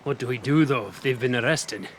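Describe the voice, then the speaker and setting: A man's voice: mid-range voice, a little fast, a little hiss or hum, natural voice. Earnest old general in echoing space, played by a man. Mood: concerned, earnest. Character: earnest, formal old male general, protective and direct. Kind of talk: fantasy audio drama acting. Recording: echoey, reverberant space.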